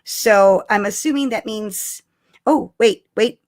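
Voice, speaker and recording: A woman co-hosting a casual live-streamed chat about fandom news, talking in an animated, chatty way with exclamations. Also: medium pitch, slow. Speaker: podcast co-host.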